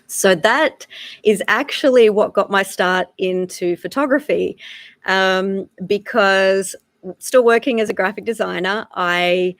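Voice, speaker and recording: A woman's voice: medium pitch, slow, no echo. A woman in her thirties with an Australian accent, giving a casual live-streamed lesson, with lots of "uh" and "um" as she talks. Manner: frequent filler "uh" and "um", relaxed and chatty. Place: home in South Africa.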